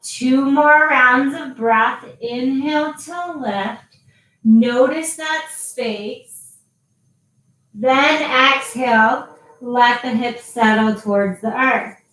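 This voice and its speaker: A woman guiding a yoga class with slow, calm cues and long pauses between them. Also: high voice. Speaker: yoga teacher. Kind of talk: guided yoga instruction. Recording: very echoey, voice from a distance.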